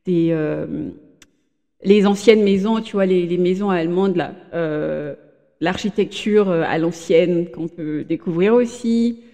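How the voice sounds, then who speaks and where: A woman's voice: fairly low pitch, dark tone, in a large hall. A woman in her thirties, a podcast guest, talking casually with many hesitations and restarts. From Benin. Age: 30s. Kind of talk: casual interview chat. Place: room in a home in Germany.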